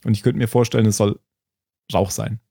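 A man's voice: on the low side, level tone, a little fast, creaky, warm tone, slightly rough, no echo, clean studio sound. A man chatting casually as a podcast host recapping a TV episode, thinking aloud hesitantly and often chuckling.

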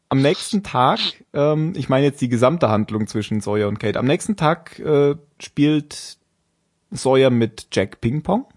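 A man's voice: mid-range voice, expressive, slow pace, a little mellow. Chatty man rambling through a recap. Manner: rambling, self-correcting, with frequent fillers and laughs. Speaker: podcast co-host. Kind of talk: casual chat recapping a TV episode.

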